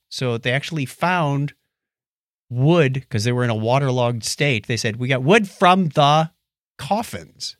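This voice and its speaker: Male podcast co-host, medium pitch, conversational storyteller who acts out quotes, dry recording. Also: a bit bright, no room sound. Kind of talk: casual chat, recounting stories.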